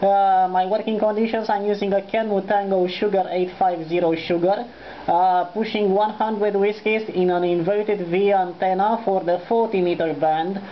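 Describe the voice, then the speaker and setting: A man's voice: sits high, fairly even intonation, normal speed. A man with a Romanian accent making an amateur radio contact, courteous and formulaic, spelling phonetically. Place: indoors, at a desk beside the transceiver. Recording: dull lo-fi sound with faint noise.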